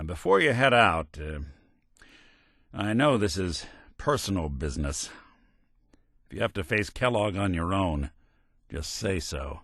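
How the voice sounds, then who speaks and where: A low, expressive male synth detective from a video game. He talks like a noir private eye, gruff and sardonic yet loyal. The delivery is clipped and world-weary, recorded close and dry. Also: no echo, full character voice. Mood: earnest, concerned. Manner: wry, hard-boiled, clipped noir delivery.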